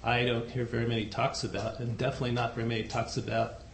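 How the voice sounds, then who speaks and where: A soft-spoken man giving a dharma talk to a group, unhurried and hesitant, with many fillers. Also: mid-range voice, flat delivery, creaky, slight background noise. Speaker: dharma teacher. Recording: echoey room recording.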